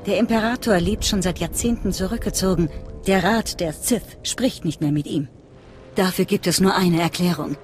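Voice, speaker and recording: An actress playing a secretive, fanatical cult leader in a game, speaking in a measured, earnest, conspiratorial way. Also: female voice, on the low side, darkish tone, soft background music, natural voice. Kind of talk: dramatic game voice acting.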